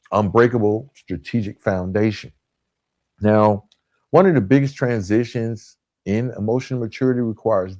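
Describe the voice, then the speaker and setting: Low-voiced man giving motivational coaching. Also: a little mellow, dry recording. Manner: emphatic, deliberate phrasing with repetition for emphasis; direct, addresses listeners informally. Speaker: podcast host and author.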